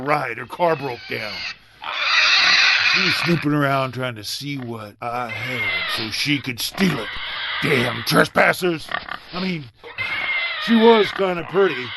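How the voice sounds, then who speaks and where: An actor playing a big, selfish, murderous farmer in a horror radio play, muttering menacingly to himself and bursting into shouts. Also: male voice, fairly high pitch, creaky, very noisy, exaggerated voice.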